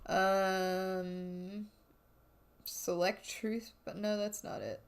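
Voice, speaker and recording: A woman's voice: medium pitch, level tone, very slow, slight background noise. A woman giving casual gameplay commentary as the player, hesitant and half muttering to herself. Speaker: let's-play player. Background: game music.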